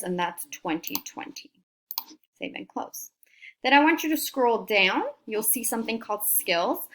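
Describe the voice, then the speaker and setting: A woman's voice: medium pitch, fairly bright tone, slight background noise. A woman career coach giving an upbeat, encouraging screen-recorded tutorial and talking students through each step. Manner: upbeat, encouraging, talks while clicking through steps.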